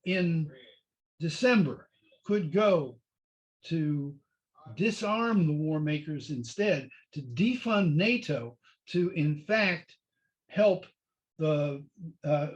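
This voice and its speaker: A man's voice: fairly high pitch, expressive, slow pace, husky, rough recording. A man giving impassioned, argumentative panel remarks over a video call.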